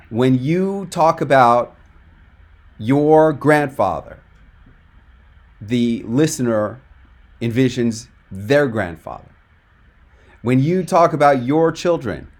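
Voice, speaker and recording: A man hosting a live online coaching webinar, stressing key points by slowing and stretching words. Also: medium pitch. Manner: emphatic, drawn-out for stress. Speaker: webinar host and speaking coach.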